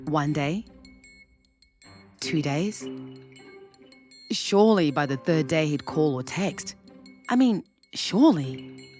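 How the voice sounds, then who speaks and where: An actress playing an anxious young woman with a Zimbabwean accent in a naturalistic audio drama, expressive and conversational. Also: low-pitched, full character voice. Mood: wry narrating. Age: young adult.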